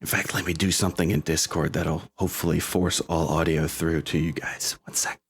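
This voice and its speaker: A man narrating a tabletop game as game master, with a halting, hesitant delivery. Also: sits low, level tone, husky.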